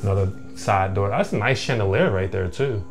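A man's voice: on the low side, brisk, faint music behind. A man casually wondering aloud about what he finds while exploring an abandoned factory. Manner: laid-back, wondering aloud, rambling. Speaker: urban explorer host. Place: abandoned factory building, indoors.

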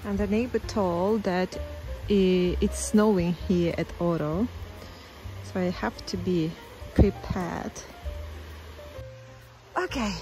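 A woman's voice: medium pitch, warm tone, rough recording. A woman in her thirties vlogging her house renovation, chatty and cheerful, filming on a phone at arm's length. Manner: chatty, cheerful, laughs often. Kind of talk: casual vlog narration. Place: outdoors on a lakeside hill, then an empty house under renovation. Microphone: phone held at arm's length.

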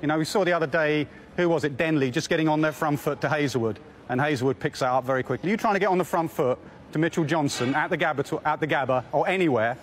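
Male commentator; fast, emphatic, slightly high; faint ambience. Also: a little hiss or hum. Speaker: commentator, pundit. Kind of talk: studio punditry.

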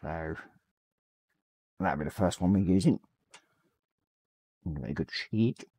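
Croaky-voiced man hosting a hobby livestream. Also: low voice, takes it slowly. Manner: reads chat messages aloud, relaxed and rambling. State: slightly croaky voice. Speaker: livestream host. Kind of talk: casual live-stream chat while painting miniatures. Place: small hobby room at home.

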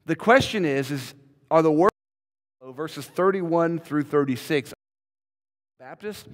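A man's voice: fairly high pitch, slow. A man in his thirties preaching a sermon from a church pulpit, emphatic and repeating words as he builds each point. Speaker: pastor. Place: large church sanctuary, indoors. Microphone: podium mic, filmed from a far camera. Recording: long hall reverb.

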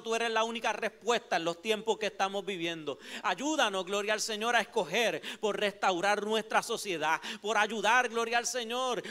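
Fervent high-voiced Puerto Rican pastor. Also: male voice, pushed and bright. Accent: Puerto Rican Spanish. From Puerto Rico. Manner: fervent preaching, frequent "gloria al Señor" refrains. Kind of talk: sermon. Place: church sanctuary at a pulpit. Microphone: handheld mic.

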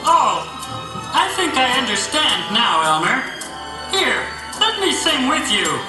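A performer voicing an excitable, naive, stubborn puppet fellow in a children's religious show, eager and earnest. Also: high voice, takes it slowly, exaggerated voice. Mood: puzzled, earnest. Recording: echoey hall, distant mic, faint music.

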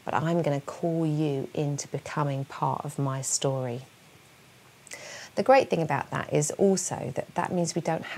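A woman's voice: sits low, creaky, darkish tone. A woman in her forties giving an earnest, encouraging devotional talk and prayer to young people, recorded on a lapel mic in a small room. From England. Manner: earnest, encouraging, speaks with open hand gestures. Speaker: speaker giving a keynote talk. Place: small room at home, indoors.

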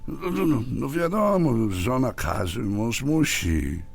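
A sheepish, pleading husband who stammers through his excuses. His husky, gravelly voice leaps wildly in pitch as he whines and begs in a radio drama. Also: sing-song, slow, soft background music, exaggerated voice. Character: sheepish middle-aged husband caught drinking. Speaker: husband Krystek.

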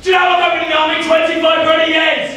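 A man playing a gruff army drill sergeant who barks orders and insults recruits, performing live before an audience. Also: very high-pitched, monotone, racing, slight background noise. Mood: barking. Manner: barking orders, insulting, shouting. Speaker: reenactment performer. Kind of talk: live historical drill demonstration. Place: large indoor hall. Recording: echoey hall from far off, crowd.

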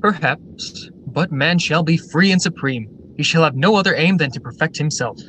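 A confident male factory director played by an actor with a fairly high voice. He declaims his ideals in polished radio-drama style. There is faint noise on the recording. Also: normal speed, a bit bright, close to an everyday voice, low-quality recording. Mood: grandly assured.

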